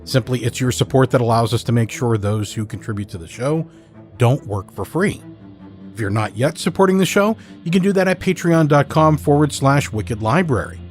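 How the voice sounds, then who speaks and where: A man hosts a podcast, reading a prepared announcement to listeners. His voice sits at a middling pitch, with music playing underneath. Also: lively intonation, normal speed. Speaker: podcast host. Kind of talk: scripted podcast announcement asking for support. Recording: music bed behind the voice.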